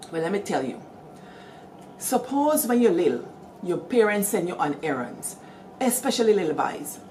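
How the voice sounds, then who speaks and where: Guyanese woman, middle-aged, animated storyteller, lowish voice, faint background hiss. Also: low-quality recording. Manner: lively, animated storytelling with call-outs to listeners. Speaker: storyteller host. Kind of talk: storytelling talk.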